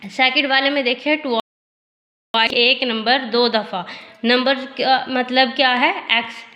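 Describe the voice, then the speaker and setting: A woman teacher, slightly hoarse from a sore throat, explains math step by step and asks questions she answers herself. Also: sits high, steady tone, slow, sharp tone, muffled recording. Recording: echoey room.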